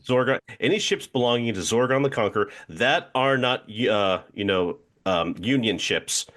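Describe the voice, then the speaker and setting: A man playing a tabletop role-playing game, improvising in casual chat and thinking aloud in a playful, halting way. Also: mid-range voice, fairly bright tone.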